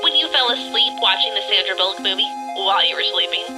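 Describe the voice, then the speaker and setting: A woman's voice: mid-range voice, animated, fast talker, music in the background, close to an everyday voice. A woman playing a bossy, worried young teammate in an audio drama, matter-of-fact and exasperated.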